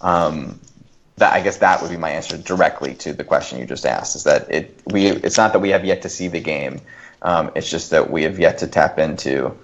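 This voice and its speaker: Male podcast guest, very low voice, halting, self-revising argument in casual discussion, lo-fi sound. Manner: halting, reworks sentences as he argues. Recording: dull, lo-fi voice recording.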